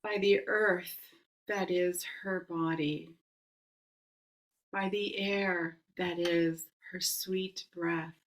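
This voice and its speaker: A woman casting a ritual circle in a solemn, measured invocation, some words whispered. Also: lowish voice, fairly even intonation. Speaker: circle caster.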